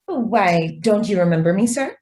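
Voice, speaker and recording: An actress playing a polite young office stenographer, deferential yet evasive and quietly persistent, in a radio drama. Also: female voice, medium pitch, lively intonation, normal speed, close to an everyday voice. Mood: polite, insistent. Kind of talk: stage-style radio drama acting.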